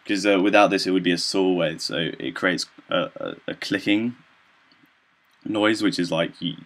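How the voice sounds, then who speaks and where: A young man giving an informal student demonstration of software, talking in a hesitant, halting, loosely explanatory way. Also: sits low, steady tone. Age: young adult. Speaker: student presenter.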